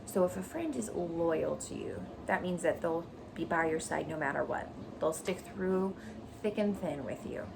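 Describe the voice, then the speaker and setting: A woman teacher reading a children's story aloud expressively, pausing to explain things to young listeners. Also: low-pitched, lively intonation, vocal fry, noisy background.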